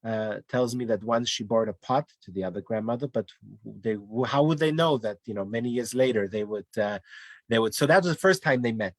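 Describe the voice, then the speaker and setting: A man's voice: mid-range voice, fairly quick, dry recording. A man from Denmark, a guest in a casual online interview, telling his family story in a rambling way with many restarts and fillers.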